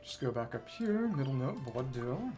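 A woman's voice: a bass voice, sing-song, normal speed. A woman game streamer reading game dialogue aloud and adding casual commentary. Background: soft game music.

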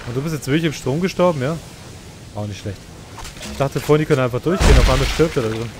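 A man commentates on a video game in a mid-pitched voice. He is casual and sarcastic, often grumbling to himself, with noticeable game rumble behind him. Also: fast. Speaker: let's play host. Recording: clear game noise behind the voice.

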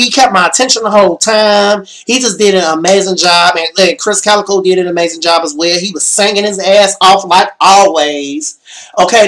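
Hyped young guy on a webcam, bit hoarse, cussing and repeating himself. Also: sits high. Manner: animated, swears a lot, repeats himself. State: slightly hoarse. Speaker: vlogger. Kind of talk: excited concert reaction vlog. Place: small bedroom.

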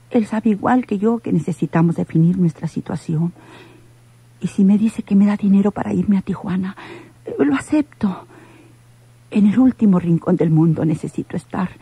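An actress playing a frightened, anxious woman in a radio soap, with a husky, breathy voice. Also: medium pitch, dark tone, no echo. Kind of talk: Spanish-language radio soap acting.

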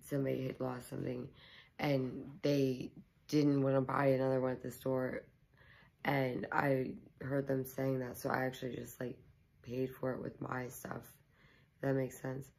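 A young woman, hoarse, chatting casually to her camera at home and rambling with many ums and likes. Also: very deep voice, monotone, measured pace, a little mellow, poor audio. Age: young adult. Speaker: YouTuber. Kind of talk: casual vlog chat to camera. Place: small living room at home, indoors.

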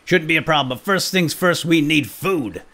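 A man hosting gameplay with excitable role-play commentary, playful and given to exclamations and quick bursts of repeated words. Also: fairly high pitch. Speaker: gaming video host.

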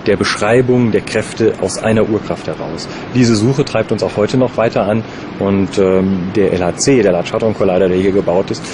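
A man who works as a physicist, explaining science to lay listeners in plain words. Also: lowish voice. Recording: very noisy location, urban outdoor ambience.

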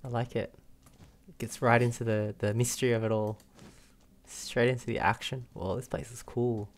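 A young man giving casual, relaxed playthrough commentary, musing aloud as he plays. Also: medium pitch, normal speed. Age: young adult. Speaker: game streamer. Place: small room indoors.

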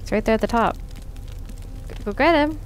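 A woman's voice: a little high, normal speed, background noise. A woman co-hosting a reaction show, commenting in casual chat.

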